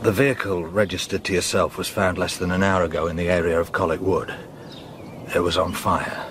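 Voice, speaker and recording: A man's voice: deep voice, fairly even intonation, a little fast. A man acting a world-weary middle-aged police detective in a naturalistic radio drama, terse, dry and understated. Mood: grave, careful. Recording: loud vehicle and outdoor noise behind.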